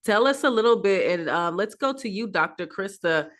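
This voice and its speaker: A woman's voice: mid-range voice, lively intonation, moderate pace. A woman hosting a panel over a video call, upbeat and starting her sentences with "now". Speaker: host.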